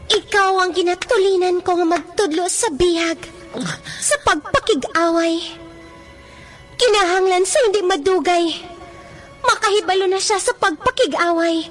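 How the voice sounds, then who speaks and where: An imperious harpy princess speaks in an extremely high voice with a Western Visayan Filipino accent. She is theatrical and menacing, giving commands over faint radio-drama music. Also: moderate pace. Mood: commanding.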